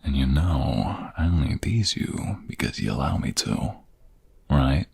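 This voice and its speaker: A man playing a protective, teasing ex-mobster devoted to his love in an intimate ASMR roleplay, soft and breathy. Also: extremely low pitch, sing-song, a little slow, no room sound, full character voice. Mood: tender, teasing. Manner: soft and intimate, breathy, often dropping to a whisper. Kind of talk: ASMR romantic roleplay acting.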